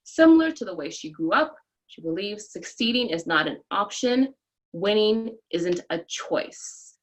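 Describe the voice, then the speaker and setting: A woman's voice: medium pitch, animated, unhurried, low-quality recording. A woman hosting a podcast, upbeat, reading a guest introduction. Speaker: podcast host. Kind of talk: interview with a scripted guest introduction.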